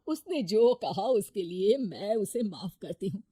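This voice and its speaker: A woman voicing a gentle, humble older village mother-in-law in an acted story. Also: medium pitch, big pitch swings, a little slow, slightly rough, no room sound. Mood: forgiving. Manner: kindly, forgiving, soft-hearted.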